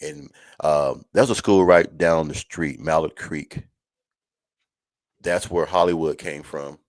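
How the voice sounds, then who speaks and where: A man's voice: rumbling low, steady tone, takes it slowly, no room sound, studio quality. A man hosting a college football show in a casual, folksy, conversational way, speaking to listeners like friends. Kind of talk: casual live sports chat.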